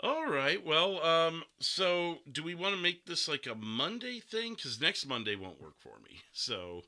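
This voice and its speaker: A man with a very bright, forceful voice hosts a relaxed gaming stream discussion. His pitch moves around a lot and he keeps a joking, laugh-prone tone as he talks. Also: a little slow. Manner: jokey, laughs often. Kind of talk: casual podcast chat.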